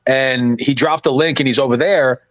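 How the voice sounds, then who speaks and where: A man in his late thirties on a podcast, casually telling stories and building up to loud punchlines. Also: medium pitch, fairly even intonation, moderate pace, dry recording, muffled recording. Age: 30s. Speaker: podcast host. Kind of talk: casual banter.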